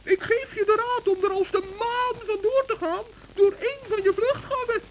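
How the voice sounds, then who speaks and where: A man voicing a small, crafty, kindly woodland gnome in a children's radio play, squeaky and urgent, on an old recording. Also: normal speed, slight background noise, low-quality recording. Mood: earnest, alarmed. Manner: urgent, anxious, squeaky exclaiming.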